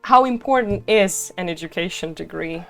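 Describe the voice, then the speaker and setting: A woman's voice: on the low side, normal speed, vocal fry, soft background music. A young woman hosting a casual conversation, chatty and giggly, with many "like"s. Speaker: host, English teacher.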